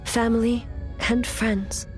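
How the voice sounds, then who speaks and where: A grave female shogun from a game, formal n measured, music behind. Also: fairly high pitch, little pitch movement. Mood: grave, resolute. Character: solemn, stern female shogun ruler, ageless and resolute. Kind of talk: video game story dialogue.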